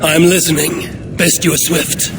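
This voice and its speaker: A man's voice: on the high side, flat delivery, unhurried, noisy background, natural voice. A man playing an imperious Norse god, lord of Asgard, in a video game, curt and demanding with formal archaic phrasing. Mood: commanding, threatening. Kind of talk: cinematic video game acting.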